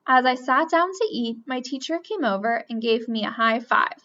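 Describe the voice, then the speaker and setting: A woman reading a children's picture book aloud with expressive storytelling. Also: on the high side, normal speed. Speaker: reader. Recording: dull lo-fi recording.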